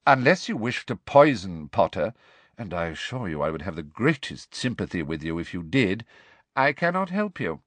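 A man voicing a cold, sardonic male professor who speaks smoothly and with contempt. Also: sits low, big pitch swings, moderate pace, a bit bright, dry recording, full character voice. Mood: icy sardonic.

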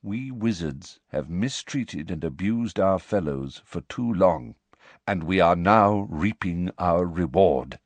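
A man voicing a calm, weary, wise old wizard headmaster in an audiobook, speaking quietly and with measured gravity. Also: sits low, no room sound, exaggerated voice. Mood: quiet, firm. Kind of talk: dramatic audiobook character reading.

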